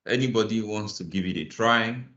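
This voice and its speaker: Low-voiced man teaching an online class. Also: fairly quick, fairly bright tone. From Nigeria. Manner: patient, encouraging, reads definitions aloud. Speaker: financial modeling instructor. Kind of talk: live online class, explaining and inviting answers. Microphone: video call. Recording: roomy, slightly muffled call audio.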